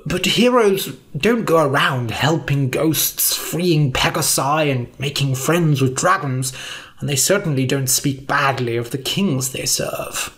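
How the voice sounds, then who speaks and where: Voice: mid-range voice, lively intonation, measured pace, a bit bright. A storyteller voicing a grumpy, blunt hoofed creature who grumbles and sneers.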